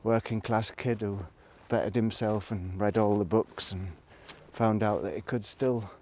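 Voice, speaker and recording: A man with a fairly low voice speaks in a casual, reflective vlog style. He muses and rambles, halting and trailing off between thoughts. Faint noise and clicks sit behind his voice. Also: fairly even intonation, darkish tone. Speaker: vlogger. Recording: noticeable background noise, clicks.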